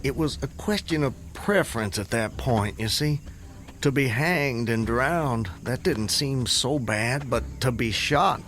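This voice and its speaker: An actor playing a condemned man in a radio drama, solemnly and intensely recounting his hanging in formal, deliberate, literary language. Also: medium pitch, a bit bright, slight background noise, close to an everyday voice. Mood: wry, resigned. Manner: formal, deliberate, vividly descriptive. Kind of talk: radio drama acting, literary first-person storytelling. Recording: music under the voice. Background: background music.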